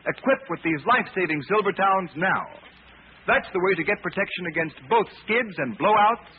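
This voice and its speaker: Male 1930s radio announcer, high voice, emphatic scripted delivery, tinny recording with faint hiss. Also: animated, moderate pace. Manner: emphatic, declamatory.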